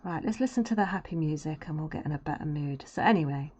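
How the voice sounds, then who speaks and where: A woman with a naturally low voice, chatting casually and rambling to her live-stream viewers while she draws. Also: animated, quick pace, darkish tone. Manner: chatty and rambling, drifting into murmurs and humming while she works. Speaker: streamer and artist. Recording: dull lo-fi stream audio.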